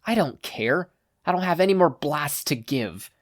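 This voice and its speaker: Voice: medium pitch, lively intonation, normal speed, pitched above the performer's own voice, natural voice. A podfic reader voicing a brash, hot-tempered teenage boy hero student who grumbles, snaps and boasts. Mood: tired grumbling.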